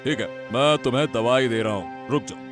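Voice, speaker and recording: A man's voice: medium pitch, normal speed, music in the background, natural voice. A man playing a matter-of-fact, mildly scolding village doctor in a cartoon story. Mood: questioning, matter-of-fact.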